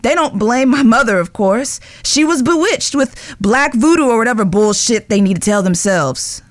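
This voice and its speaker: A woman playing a guilt-ridden, lovestruck young outlaw spy in an audio drama, speaking earnestly and emotionally with pauses. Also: fairly high pitch, expressive, no echo, lightly acted. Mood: bitter, pained.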